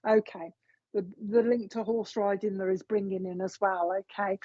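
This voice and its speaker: Chatty woman, husky. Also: mid-range voice, fairly even intonation, moderate pace, mellow, no room sound. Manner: chatty, relaying messages with frequent fillers and asides. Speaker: medium giving a reading. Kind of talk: spoken psychic reading. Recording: dull, dry lo-fi sound.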